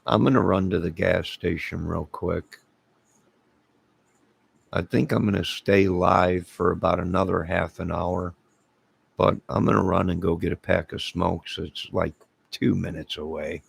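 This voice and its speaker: A middle-aged man with a very low, deeply gravelly voice, worn hoarse from singing. He drawls slowly and rambles loosely through a late-night livestream, greeting his viewers one at a time. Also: steady tone. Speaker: livestream host. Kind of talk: late-night casual live chat.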